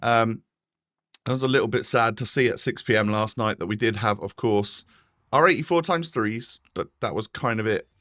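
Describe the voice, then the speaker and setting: A man's voice: on the low side, normal speed, a bit bright, dry recording, clean studio sound. A British man with a slightly bunged-up nose, sounding tired as he gives chatty, casual gameplay commentary as a gaming host. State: slightly congested.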